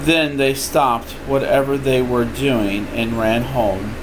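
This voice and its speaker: An older man, a grandfather, reading a picture book aloud in an expressive, informal way with playful asides. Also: medium pitch, takes it slowly. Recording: steady room noise, air conditioning hum.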